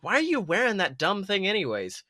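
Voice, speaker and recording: A man voicing a young female rabbit police officer, sensible and caring, who teases and gently scolds in a story reading. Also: high-pitched, huge pitch swings, brisk, no room sound, clean studio sound, exaggerated voice. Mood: concerned scolding.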